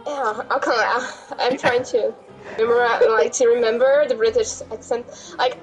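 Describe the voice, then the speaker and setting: A woman's voice: fairly high pitch, fairly even intonation, moderate pace, dull audio, faint music behind. A woman whose first language is Portuguese, joking playfully and bluntly while watching a game with her brother. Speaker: co-host sister. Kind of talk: casual joking chat.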